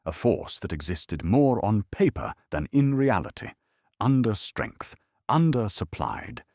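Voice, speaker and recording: A man with a low voice narrates history as a bedtime story. His delivery is calm and measured, yet his pitch rises and falls widely. The sound is close and dry. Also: no room sound.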